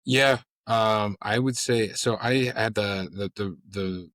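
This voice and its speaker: A man's voice: on the low side, slow, a bit bright, dry recording. A young adult man with an American accent, a guest in an interview, talking earnestly and stammering over repeated words. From United States. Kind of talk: casual interview chat.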